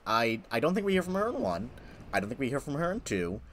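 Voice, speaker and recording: A man's voice: mid-range voice, very animated, fast. A man giving casual, wry, nitpicking commentary as he plays a video game. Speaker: gaming video host. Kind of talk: casual let's play commentary. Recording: game music behind voice.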